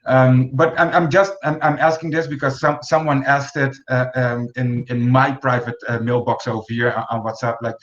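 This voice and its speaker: A man's voice: medium pitch, little pitch movement, normal speed, hardly any pauses, fairly bright tone. A man in his forties hosting an online round table, talking hesitantly with many fillers and restarts over a video call. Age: 40s. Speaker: host. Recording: echoey room, dull lo-fi sound.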